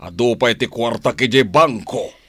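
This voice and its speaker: An actor with a Filipino accent playing a smug, scheming crime boss with his sweetheart in a radio drama, self-assured and expressive. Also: medium pitch, normal speed, slightly rough, no echo, clean studio sound. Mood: reassuring.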